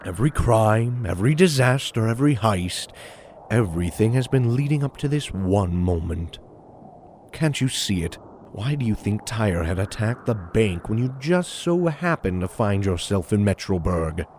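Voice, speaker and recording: A man's voice: fairly low pitch, lively intonation, moderate pace, darkish tone, slight background noise, lightly acted. A man playing a scheming, smug supervillain mastermind in an audio drama, with a taunting, theatrical delivery. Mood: gloating. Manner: taunting, theatrical, self-satisfied. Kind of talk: audio drama villain acting.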